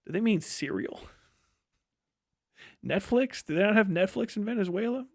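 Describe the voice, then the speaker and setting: A man's voice: high voice, animated, moderate pace, darkish tone, no echo, studio quality. An American man livestreaming a casual, opinionated rant, blunt, scornful and full of profanity and slang. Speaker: livestream host and political commentator.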